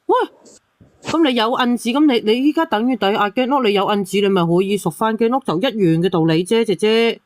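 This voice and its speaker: A woman's voice: fairly high pitch, brisk, dry recording. A woman on a call-in panel, arguing bluntly and scolding in casual talk. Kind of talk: argumentative casual commentary.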